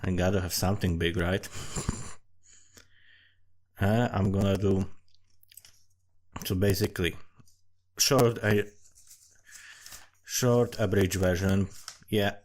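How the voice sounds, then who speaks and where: A man's voice: sits low, fairly even intonation, slow pace, long pauses, a little mellow. A man from Slovakia with a Slovak accent, giving casual, rambling commentary in a profane, self-mocking and digressive way. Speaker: storyteller and amateur writer. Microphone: desktop USB condenser microphone.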